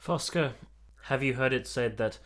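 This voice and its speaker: A man's voice: mid-range voice, moderate pace, a bit bright, close to an everyday voice. An actor playing a sober, disillusioned military man of the 1870s in a radio drama, reflective and formal in his wording. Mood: reflective, bitter. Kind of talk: period radio drama acting and narration.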